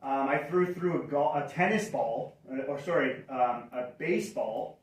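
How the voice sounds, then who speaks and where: A man's voice: fairly high pitch, darkish tone. Rambling upbeat man, echoey room. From Canada. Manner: rambling, upbeat, self-correcting. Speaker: channel host. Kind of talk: casual livestream chat. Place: indoors near a fireplace. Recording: roomy echo, mic far off.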